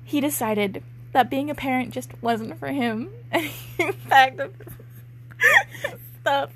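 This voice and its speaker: A woman's voice: high voice, slow, slight background noise. A young woman reading amateur fiction aloud casually as co-host, breaking in with wry, giggly, sweary asides. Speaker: co-host and reader.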